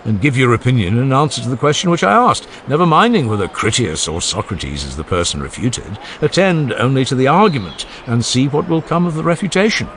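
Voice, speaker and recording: A man's voice: medium pitch, big pitch swings, brisk, few pauses, a bit bright, a little hiss or hum, voice pushed up. A man reading the part of an earnest, genial ancient philosopher who questions relentlessly, step by step, in a dramatized audiobook dialogue. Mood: earnest, encouraging. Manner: rhetorical, emphatic, step-by-step questioning.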